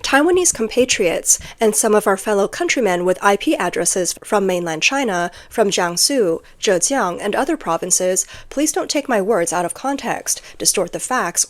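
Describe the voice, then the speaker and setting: Woman doing news voiceover, medium pitch, reading off a script. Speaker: news narrator. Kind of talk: scripted news narration with read-out translated quotes.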